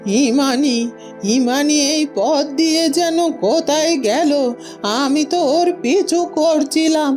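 A woman's voice: high voice, slow pace, darkish tone, soft background music. A woman voicing an anxious, pleading old aunt in a melodramatic cartoon fairy tale.

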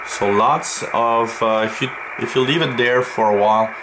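A man narrating a hands-on tutorial as host, in a halting, hesitant way. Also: medium pitch. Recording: loud shortwave radio static. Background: radio static and noise.

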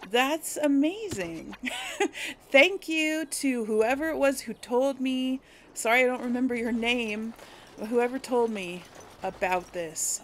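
Casual chatty woman, noisy background. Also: on the high side. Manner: chatty, informal, thinking aloud. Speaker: let's player hosting a gameplay series. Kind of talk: casual gameplay commentary to viewers.

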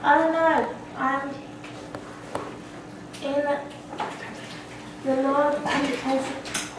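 A woman's voice: high voice, flat delivery, extremely slow. A schoolgirl playing a cautioning, haughty medieval lord in an amateur puppet play. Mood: uneasy. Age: schoolgirl, about 12. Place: school classroom. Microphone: distant camera. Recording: echoey noisy classroom from far off.

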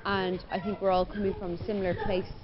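A young woman musician giving a thoughtful, measured interview outdoors by a wall. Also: medium pitch, level tone, lo-fi sound, crowd noise.

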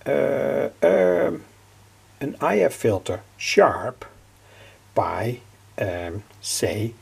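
Gravelly older man, slow and halting. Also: mid-range voice, sing-song. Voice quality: gravelly, husky. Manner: halting, repeats phrases, frequently says "say". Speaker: electronics hobbyist presenter. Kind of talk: informal technical explanation.

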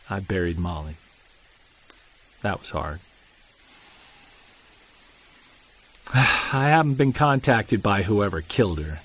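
A middle-aged man playing a brooding, obsessive Bigfoot investigator in an audio drama, speaking in a measured way. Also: low-pitched, a bit bright, slight background noise. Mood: weary, grieving. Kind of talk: audio drama monologue and dialogue, confessional recorded journal.

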